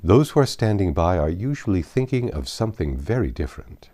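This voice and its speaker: A man voicing a grumbling, indifferent Regency gentleman in a novel reading, dry and dismissive. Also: low voice, very animated, brisk. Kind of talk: acted dialogue within a novel reading.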